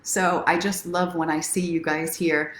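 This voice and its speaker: Low-pitched woman in an echoey room. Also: level tone, a little slow, a little hiss or hum. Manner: encouraging, speaks straight to viewers. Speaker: livestream host and self-help coach. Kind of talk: casual livestream chat with encouragement. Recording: roomy, echoing sound.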